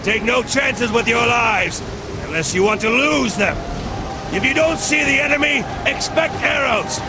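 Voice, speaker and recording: A man's voice: very high-pitched, unhurried. A man playing a fierce, zealous crusader commander, giving declamatory battle speeches to his troops in a video game. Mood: stern, threatening. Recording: loud battle noise behind the voice.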